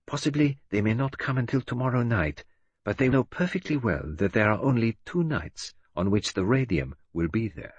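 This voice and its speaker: A man voicing a calm, precise detective who speaks quietly and formally. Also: medium pitch, moderate pace, slightly rough, no echo, dull audio. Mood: whispering.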